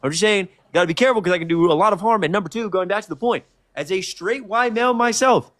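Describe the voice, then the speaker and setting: A man's voice: high-pitched, fast talker, fairly bright tone, no echo, clean studio sound. A man in his early twenties debating heatedly on a live stream, emphatic and confrontational, with frequent swearing. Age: early 20s.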